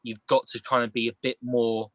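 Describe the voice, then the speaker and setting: A man's voice: medium pitch, level tone, normal speed, fairly bright tone, no room sound. A man giving casual sports analysis on a podcast in a rambling, colloquial, matey way. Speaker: podcast panellist.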